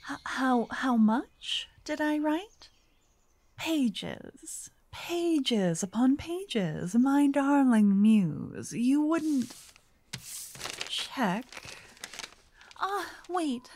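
Casting: wealthy vampire authoress played by a woman for ASMR roleplay; theatrical, doting tease, unhurried, mellow, breathy half-whisper. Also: sits high, animated, dry recording, exaggerated voice. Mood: mock indignant, flustered. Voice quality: soft, breathy, often whispered. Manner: teasing, dreamy, old-fashioned wording. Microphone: close, dry microphone.

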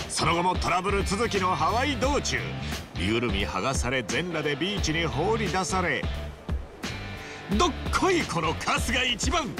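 Big-hearted loud ex-gangster game hero, earnest, slightly high voice, super lively. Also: male voice. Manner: theatrical, earnest, rough-and-ready. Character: boisterous, big-hearted, upbeat ex-yakuza man. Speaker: game character actor. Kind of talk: Japanese video game voice acting.

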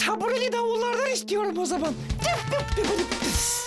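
A cocky little cartoon kid speaks with childlike confidence. The pitch is extremely high, and music plays loudly underneath. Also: female voice, expressive, fairly quick. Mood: boastful.

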